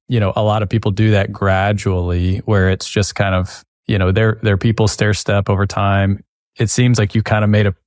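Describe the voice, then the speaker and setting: A man's voice: on the low side, darkish tone, no echo, studio quality. A man hosting a podcast, probing with rephrased questions. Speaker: podcast host. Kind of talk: interview questions.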